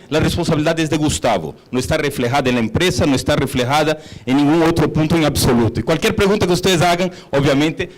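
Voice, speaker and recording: Male panel speaker, middle-aged, native Brazilian Portuguese accent, notably high-pitched voice, informal talk style. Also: rough recording. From São Paulo, Brazil. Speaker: hotel executive, panel speaker. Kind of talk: informal conference talk with personal anecdotes.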